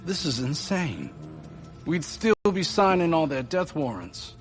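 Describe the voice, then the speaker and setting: A hot-headed intelligence officer in a radio drama. His voice is fairly high and swings wildly, yet the pace is slow. He is blustering and explosive. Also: big pitch swings, faint music behind. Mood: exasperated. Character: hot-headed, foul-mouthed American male intelligence officer.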